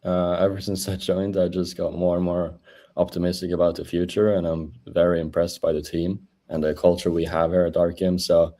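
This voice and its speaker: A man, chatting as a guest over a video call in a halting way with many fillers. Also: low-pitched, level tone, dark tone. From Norway. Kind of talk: casual interview chat.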